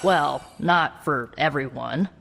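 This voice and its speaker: A woman's voice: low-pitched, slow, gravelly, a bit bright, full character voice. A woman playing an eager, stubborn young sorceress in a video game, talking in a casual, colloquial way. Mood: storytelling. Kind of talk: video game cutscene acting. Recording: long reverb, music behind. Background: music.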